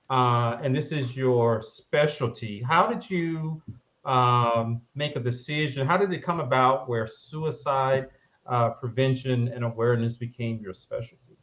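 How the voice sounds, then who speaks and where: A man hosting a panel talk show, speaking in a measured way with frequent pauses. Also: mid-range voice, muffled recording.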